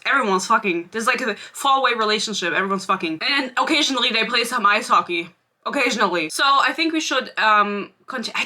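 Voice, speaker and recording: A woman's voice: a little high, sharp tone. A young woman in her twenties whose first language is German, chatting casually as a book vlogger, rambling and sarcastic, laughing often. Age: 20s. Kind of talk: casual chat.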